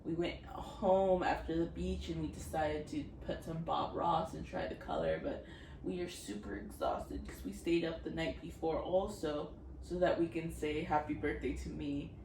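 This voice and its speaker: A woman in her twenties rambles through a casual vlog chat, sprinkling in "like" and quick giggles. Her voice sits low for a woman. The mic is set back from her, so the room rings and a steady background noise hums underneath. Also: reverberant, far from the mic. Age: 20s. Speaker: vlogger.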